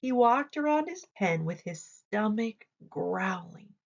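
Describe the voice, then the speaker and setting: A woman reading a children's story aloud in an expressive, deliberate storytelling manner. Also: mid-range voice, dull audio. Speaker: storybook reader.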